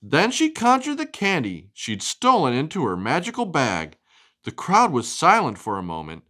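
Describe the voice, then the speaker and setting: A woman reading a storybook aloud to a young child in an expressive, sing-song way. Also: low voice, normal speed. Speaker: storybook reader.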